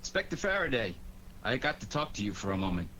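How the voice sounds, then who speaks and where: An actor playing an earnest, deferential private secretary with an American accent, speaking politely in a 1940s radio drama. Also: male voice, medium pitch, very animated, quick pace, sharp tone. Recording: faintly noisy 1940s radio recording.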